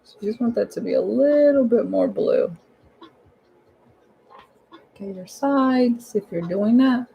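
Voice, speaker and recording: A woman with a hoarse, husky voice giving a casual, chatty step-by-step painting tutorial at home, repeating her instructions in informal language. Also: fairly high pitch, lively intonation, measured pace, pauses often, lo-fi sound, a little hiss or hum.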